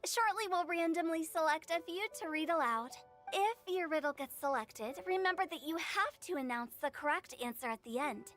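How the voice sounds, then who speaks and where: A woman's voice: very high-pitched, expressive, normal speed, a bit bright, soft background music. A woman playing a playful, theatrical young contest host in an anime game, with a cheeky, showy delivery. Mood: cheerful, announcing.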